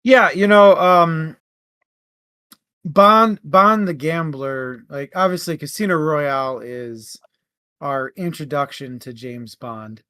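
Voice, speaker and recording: A man co-hosting a book club show, discussing casually in a halting, conversational way. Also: fairly high pitch, pushed and bright, clear, resonant tone, no echo, clean studio sound.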